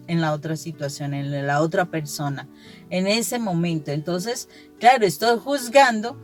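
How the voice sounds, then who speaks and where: A woman giving a conversational religious reflection, explaining earnestly and restating her points as she goes. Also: medium pitch. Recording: soft guitar music behind the voice. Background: guitar music.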